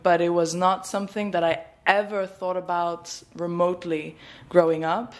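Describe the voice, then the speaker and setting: A woman's voice: lowish voice, level tone, moderate pace. A woman answering interview questions in a rambling, thinking-aloud way with many fillers. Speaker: graduate student guest.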